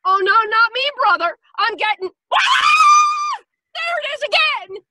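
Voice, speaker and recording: A woman's voice: very high voice, big pitch swings, slow pace, raised voice, heavy background noise, exaggerated voice. A woman playing a panicky, uneducated, brassy woman in old-time detective radio, with melodramatic, slangy working-class speech. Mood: panicked. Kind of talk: 1940s radio detective acting.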